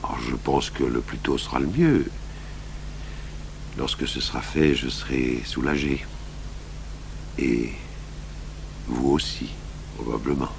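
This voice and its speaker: An actor playing a calm, shrewd middle-aged police commissioner in a radio crime drama, speaking in a measured, deliberate, probing way. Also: male voice, extremely low pitch, exaggerated voice. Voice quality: gravelly. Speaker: lead detective and first-person narrator. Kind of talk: 1980s French radio crime drama acting. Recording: old radio broadcast recording, faint hum and hiss.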